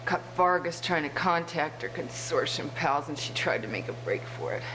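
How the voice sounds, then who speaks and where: An actress playing a tough, vengeful resistance soldier in a video game, gritty, informal and emotional. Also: female voice, sits low, expressive, normal speed, fairly bright tone, rough recording. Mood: bitter, shaken. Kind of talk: scripted video game cutscene acting. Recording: clear background noise with hum.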